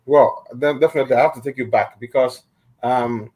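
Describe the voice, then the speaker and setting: A man's voice: medium pitch, steady tone, a little fast, darkish tone, low-quality recording. A middle-aged man, speaking earnestly as a radio interview guest and often restarting his phrases. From Ghana.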